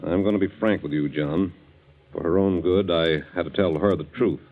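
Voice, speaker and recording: An actor playing a grave, frank family doctor with a measured, authoritative manner in a 1940s radio drama. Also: male voice, low-pitched. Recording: dull 1940s radio broadcast recording.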